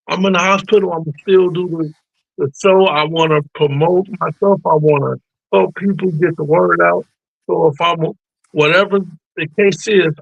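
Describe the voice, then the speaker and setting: A man's voice: fairly high pitch, vocal fry, no room sound, highs cut off. A man co-hosting a casual video call chat, earnest and supportive, with informal speech. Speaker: co-host.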